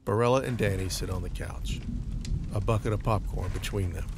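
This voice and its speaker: A man's voice: fairly low pitch, fairly quick, music in the background. A man narrating an audio drama from a script, describing each scene in the present tense.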